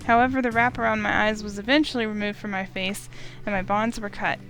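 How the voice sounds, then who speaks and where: A woman reading a visual novel aloud in first person, steady and expressive, with small slips. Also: mid-range voice, brisk, faint music behind, low-quality recording. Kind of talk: first-person story narration.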